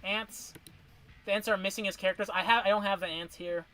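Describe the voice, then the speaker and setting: A man's voice: high voice, steady tone, fairly quick, bright, forceful tone, slight background noise. A man chatting casually on a stream, ranking cartoon characters and rambling through tangents with restarts, his voice wearing from long streaming. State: voice getting worn from streaming.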